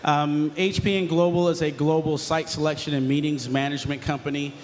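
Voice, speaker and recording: A man's voice: fairly high pitch, little pitch movement, fairly quick, a little hiss or hum. A man speaking informally and chattily as a panelist. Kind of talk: conference panel talk.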